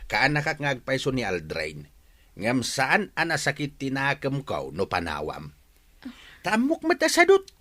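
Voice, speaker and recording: A man's voice: medium pitch, huge pitch swings, measured pace, sharp tone, exaggerated voice. An actor in a radio drama playing a kindly older uncle who gives firm, fatherly advice. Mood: concerned, advising. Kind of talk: Ilocano radio drama acting.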